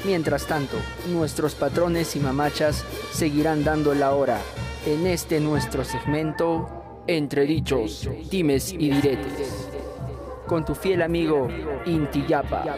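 Man narrating over loud music. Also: on the high side, fairly even intonation, slow pace. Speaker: segment host. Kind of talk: scripted radio gossip segment narration.

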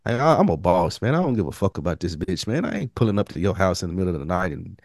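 A man's voice: low-pitched, big pitch swings, fast talker, gravelly, a little mellow, no echo, clean studio sound. An American man co-hosting a casual TV review podcast, blunt and slangy, swearing freely. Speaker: podcast co-host.

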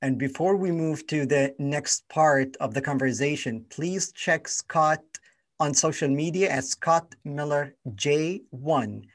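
A man's voice: a little high, slow pace. A man hosting an interview podcast, speaking politely and formally and giving short affirmations while his guest talks. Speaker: podcast host.

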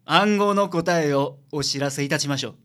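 A man playing a gruff, teasing detective boss with a Kansai accent in a radio drama. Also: on the high side, moderate pace, fairly bright tone, lightly acted. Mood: businesslike.

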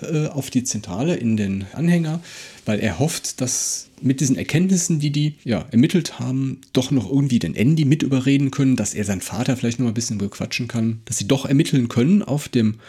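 Guy chatting through a story recap as co-host, dark soft voice, bit rough. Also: medium pitch, fairly quick. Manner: conversational, explanatory. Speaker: podcast co-host. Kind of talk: casual episode discussion and retelling.

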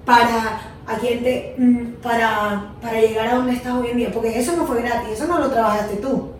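A woman's voice: a little high, steady tone, moderate pace. Teasing young woman, echoey and distant. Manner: teasing, playful. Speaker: podcast co-host. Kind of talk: casual podcast chat. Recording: echoey room, far from mic.

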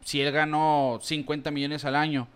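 A chatty man co-hosts a relaxed baseball podcast. His voice sits a touch higher than most men's as he reads out listener comments and tosses in quick asides. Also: smooth. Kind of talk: casual baseball podcast chat.

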